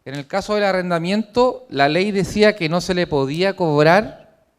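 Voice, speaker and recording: A man presenting his own book in a formal, measured lecture to an audience in a hotel conference room. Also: high-pitched, clear, resonant tone.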